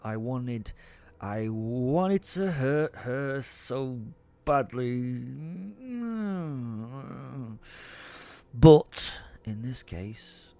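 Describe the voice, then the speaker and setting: A man's voice: mid-range voice, warm tone, close to an everyday voice. An actor playing a foul-mouthed, ranting comic werewolf who narrates his own audio drama in a theatrical, rambling, sweary way. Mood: indignant. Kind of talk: dramatised first-person storytelling. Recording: music under the voice.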